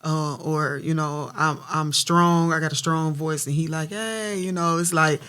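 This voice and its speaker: A man's voice: fairly high pitch, fairly even intonation, a little slow, sharp tone, clear, resonant tone. A man, a rapper from Detroit, answering interview questions loosely, with many fillers. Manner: loose, laughing, lots of fillers. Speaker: rapper guest.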